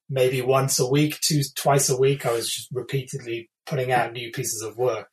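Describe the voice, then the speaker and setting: A man's voice: medium pitch, poor audio. A man in his thirties from the UK, an illustrator talking casually in an interview, thoughtful and hesitant with many fillers and restarts. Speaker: illustrator, guest.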